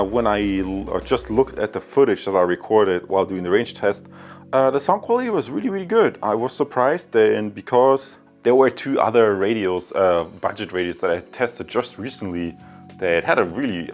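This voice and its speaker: A man who hosts a review channel, talking casually and often repeating himself as he goes. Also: on the low side. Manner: repeats phrases and figures. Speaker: product reviewer and channel host. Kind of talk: casual product review talk.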